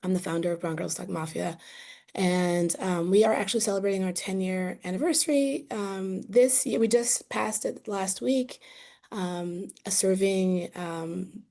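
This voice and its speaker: A woman with a slightly raspy voice speaking on a video call in a friendly, halting way, with ums. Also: mid-range voice, dark tone. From New York, USA. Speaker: founder of an advocacy group. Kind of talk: town hall presentation on video call.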